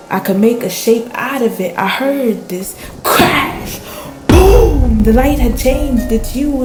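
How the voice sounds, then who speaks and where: A woman playing a shaken, spiritual fisher in a radio play, recounting a brush with death in halting, dramatic fashion with pauses and repetition. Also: a little high. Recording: roomy echo with music underneath.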